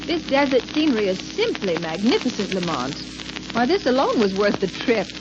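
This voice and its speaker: A woman's voice: a little high, very animated, moderate pace. An actress playing a refined, skeptical young lady companion, speaking with polished diction in 1930s radio drama style. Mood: admiring. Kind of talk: 1930s American radio acting. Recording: crackling, muffled 1930s radio recording.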